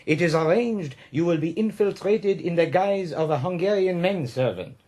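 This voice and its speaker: An actor playing a scheming, genial young Prussian captain with a German accent and inverted word order in a radio drama. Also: male voice, high-pitched, moderate pace, a little mellow, natural voice. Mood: briefing, secretive.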